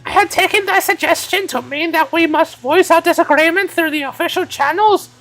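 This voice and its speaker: A man voicing a zealous, gremlin-like female knight for a game, declaiming pompously in archaic English with a shrill voice. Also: fairly quick, a bit bright.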